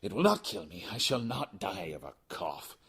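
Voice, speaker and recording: A man acts out a tipsy, boastful nobleman for a gothic audiobook. The voice jumps up high and loud, scornful and full of exclamation. It is husky and swings widely in pitch. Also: big pitch swings, moderate pace, exaggerated voice. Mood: dismissive. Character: drunken, boastful, coughing nobleman in jester's motley. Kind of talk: theatrical audiobook character acting.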